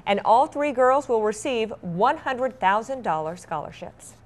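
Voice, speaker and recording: A woman's voice: fairly high pitch, lively intonation, unhurried. A woman anchoring a newscast, reading scripted stories with light conversational asides. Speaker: TV news anchor.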